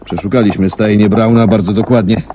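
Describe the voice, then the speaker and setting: An actor playing an earnest gentleman colonel in a 1970s Polish radio play, speaking formally, courteously and matter-of-factly. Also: lowish voice, fairly even intonation, a little fast, lo-fi sound, natural voice. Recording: noisy archival 1970s radio recording.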